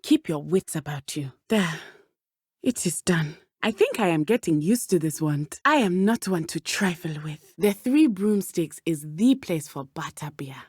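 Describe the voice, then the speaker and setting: A woman voicing a brave, earnest teenage witch schoolgirl in a video game, speaking in a formal, measured way. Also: on the low side, expressive, a little mellow, breathy edge, dry recording, studio quality. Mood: earnest, calm. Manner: formal, measured, avoids contractions. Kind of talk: scripted game companion dialogue.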